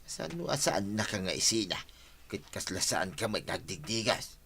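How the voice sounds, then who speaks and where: A cheeky, broke husband forever joking and buying on credit in an Ilocano radio comedy. The man's voice is bright and forceful, with an Ilocano accent. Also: lowish voice, big pitch swings, moderate pace, husky, exaggerated voice. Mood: cheeky, welcoming. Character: penniless, joking husband who buys on credit.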